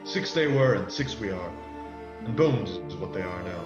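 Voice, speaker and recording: An actor playing a wily, persuasive pirate leader in a radio play, talking in rustic old-sailor dialect. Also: male voice, sits low, lively intonation, normal speed. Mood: calculating. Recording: muffled, echoey audio under loud music.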